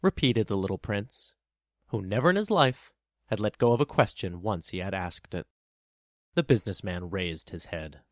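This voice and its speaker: A man's voice: lowish voice, lively intonation, moderate pace, no echo, clean studio sound. A man reading scripted storybook narration as the narrator of a children's tale. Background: plucked guitar music.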